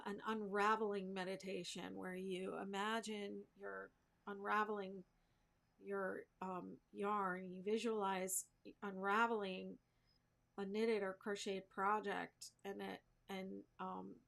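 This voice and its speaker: Casual woman with a creaky voice. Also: mid-range voice, fairly bright tone. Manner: informal, self-deprecating, thinks aloud. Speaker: crochet livestream host. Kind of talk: casual rambling chat with viewers while crafting.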